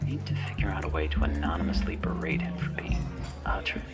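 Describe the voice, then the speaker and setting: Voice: very deep voice, sing-song, fast talker, over loud music. A performer voicing a snide, exasperated cyberpunk handler in a whispered ASMR roleplay, with sardonic, condescending, formal wording. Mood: grudging, haughty.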